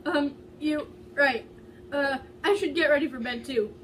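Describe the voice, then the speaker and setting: Woman voicing a hesitant young man, high-pitched. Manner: halting. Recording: distant mic with loud background noise.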